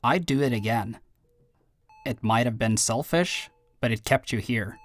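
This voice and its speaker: A man playing a guilty, devoted brother and werewolf clan second in an audio roleplay drama, earnest and halting as he speaks. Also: medium pitch, dry recording, close to an everyday voice. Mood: pleading, earnest.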